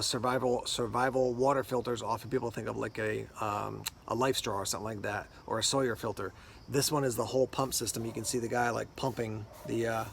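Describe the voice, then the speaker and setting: A man talks through products like an unboxing host, informal and conversational. His mid-range voice has a noticeable gravelly creak. There is light noise behind him as he explains each item casually. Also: mid-range voice.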